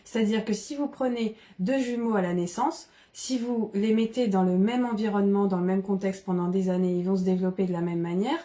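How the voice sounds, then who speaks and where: A woman from the Paris region, explaining ideas in casual, animated conversation with frequent restarts. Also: medium pitch, a little fast, few pauses. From Paris region, France. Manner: animated, many restarts and fillers.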